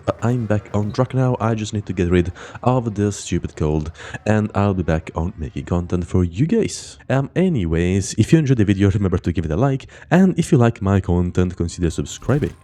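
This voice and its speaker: Low, mellow man with a cold. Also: fairly quick. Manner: steady explanatory, then loose chatty sign-off. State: has a cold, voice off. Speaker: gaming channel host. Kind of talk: scripted game guide narration.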